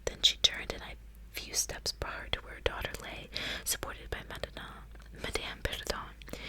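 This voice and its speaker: A woman whispering close to the mic in a breathy, unvoiced ASMR style, unhurried and informal as a host. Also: rough recording. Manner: casual, rambling, frequent filler "like". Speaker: ASMR host reading a book aloud. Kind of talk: whispered ASMR reading and casual chat. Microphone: close ASMR microphone.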